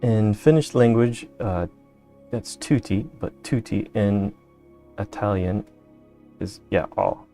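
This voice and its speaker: A man's voice: fairly low pitch, slow, mellow, soft background music. A man chatting casually on a stream, dry and playful with his co-host.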